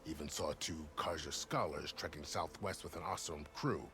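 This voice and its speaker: Deep-voiced, seasoned frontier soldier. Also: male voice, normal speed, bright, forceful tone, a little hiss or hum. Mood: matter-of-fact. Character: seasoned, plain-spoken male fantasy frontier soldier. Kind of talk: video game dialogue.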